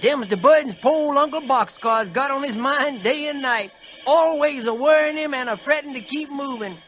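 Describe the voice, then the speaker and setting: A man's voice: extremely high pitch, normal speed, fairly bright tone. A man playing a grumbling, put-upon old freight train in a folksy drawl, in 1940s radio acting style. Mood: weary grumbling. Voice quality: folksy drawl with dialect grammar. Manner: querulous, self-pitying, scolding. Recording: dull vintage radio recording with music.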